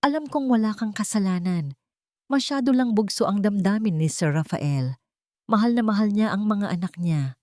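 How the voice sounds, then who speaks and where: A woman voicing an elderly male house helper in an audio story, soft-spoken, deferential and gentle in manner. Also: high-pitched, animated, normal speed, no echo, studio quality, pitched above the performer's own voice. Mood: reassuring.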